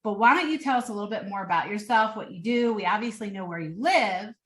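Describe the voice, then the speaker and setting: A woman's voice: mid-range voice, expressive, quick pace, fairly bright tone. A woman hosting a podcast, chatting casually as she interviews her guest. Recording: roomy, echoey sound.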